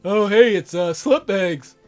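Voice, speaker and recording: An awkward teenage boy from a dating sim, as a man reads him, talks in a high, hesitant voice. He is offhand and mildly reproachful, with faint music underneath. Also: slow pace, a little mellow. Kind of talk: dating sim dialogue read aloud.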